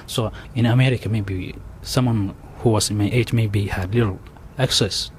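A young man with a Somali accent, telling his story in an interview, halting and full of restarts and fillers. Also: lowish voice. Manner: halting, many restarts and "you know" fillers. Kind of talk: oral history interview. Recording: faint background noise.

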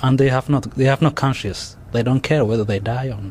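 A young man with a Somali accent, telling his story in an interview, halting and full of restarts and fillers. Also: medium pitch, a little mellow. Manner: halting, many restarts and "you know" fillers. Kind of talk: oral history interview. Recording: faint background noise.

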